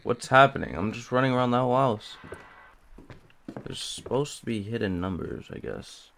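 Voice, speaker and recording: Gravelly-voiced nervous gamer guy. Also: medium pitch, expressive, normal speed, fairly bright tone, slight background noise. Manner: jumpy, nervous, talks to himself. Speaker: gamer playing a horror game. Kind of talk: casual let's play commentary.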